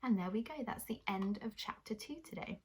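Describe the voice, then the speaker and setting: A woman presents a children's story show. Her mid-pitched voice has a soft huskiness, and she speaks encouragingly, straight to her young audience. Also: lively intonation, a little fast, husky.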